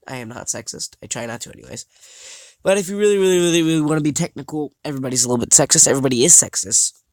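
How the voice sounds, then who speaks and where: A young man vlogging over slides, talking haltingly with many fillers and restarts, his voice a little scratchy and his nose stuffed up. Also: mid-range voice, no room sound. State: congested, slightly scratchy voice. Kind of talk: casual talk over slides.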